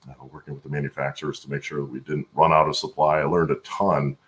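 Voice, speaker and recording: Really deep gravelly american guy chatting as a guest on a video call. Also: little pitch movement, fast talker, a bit bright. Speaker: guest, supply chain executive. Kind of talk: conversational interview answers. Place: room at home on a video call.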